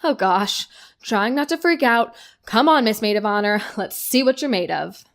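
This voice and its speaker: An actress playing a stressed but affectionate young bride with a wry, bossy, bantering manner in an audio drama. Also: female voice, a little high, expressive, moderate pace, noisy background. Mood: affectionate, frazzled.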